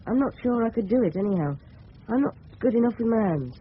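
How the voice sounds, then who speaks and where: A man's voice: very high voice, expressive, fast. An earnest English teenage boy in a radio play. He speaks politely and boyishly, with some self-consciousness. The sound is narrow and tinny, with light background noise. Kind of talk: radio drama acting with inner monologue. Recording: noisy tinny old radio recording.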